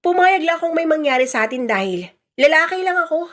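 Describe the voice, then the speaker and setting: A woman's voice: extremely high pitch, big pitch swings, a little fast, fairly bright tone, voice pushed up, heavily acted. A woman voicing a blunt, self-justifying husband who is fed up with his marriage, in a radio drama style. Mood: scornful, defiant. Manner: defiant, blunt, scornful.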